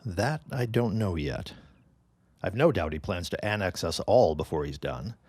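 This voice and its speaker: A courteous gentleman in a period radio drama. His voice is low and swings widely in pitch, genial and earnest, recorded close and dry. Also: lively intonation, normal speed, breathy edge, no echo. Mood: earnest, confiding. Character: courteous, earnest widowed man, eager suitor, 1910s drama. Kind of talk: period audio drama acting.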